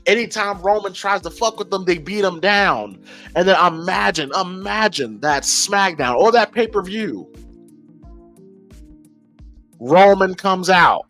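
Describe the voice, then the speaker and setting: An American man with a somewhat high voice hosts a casual podcast over background music. He talks informally and with emphasis, working through his opinions aloud and repeating phrases as he goes. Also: a bit bright. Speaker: wrestling podcast host.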